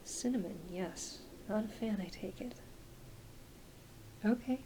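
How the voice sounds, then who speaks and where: A woman playing a gentle, reassuring doctor in an ASMR exam roleplay, speaking softly and hesitantly, often half-whispering. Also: medium pitch, unhurried, natural voice. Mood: calm, reassuring. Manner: soft, half-whispered, hesitant, apologetic bedside talk. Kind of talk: soft-spoken ASMR medical exam roleplay. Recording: faint steady hiss behind close voice.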